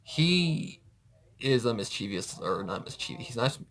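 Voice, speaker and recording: A man's voice: medium pitch, very animated, measured pace, fairly bright tone. A man giving casual, off-the-cuff reaction commentary, rambling and often correcting himself. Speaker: reaction video host.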